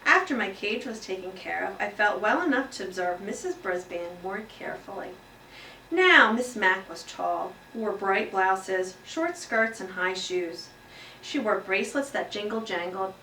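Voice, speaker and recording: A woman playing small earnest pet hamster, storybook narrator, bright voice, expressive and emphatic, echoey room. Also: medium pitch, unhurried. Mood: earnest, content. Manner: expressive, exclaiming, emphatic read-aloud delivery. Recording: roomy echo, distant mic, faint noise.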